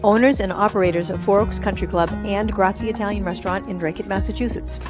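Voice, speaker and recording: A woman with a mid-pitched voice reads scripted lines as a business owner in a radio commercial. Music plays clearly behind her. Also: steady tone, fast. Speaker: restaurant owner. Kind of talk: scripted two-person radio ad. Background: background music.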